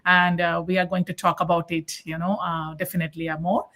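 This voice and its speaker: A woman from Kolkata, India introducing herself warmly on a live online call, with hesitant fillers. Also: on the low side, little pitch movement, a little fast. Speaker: panelist. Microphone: video call.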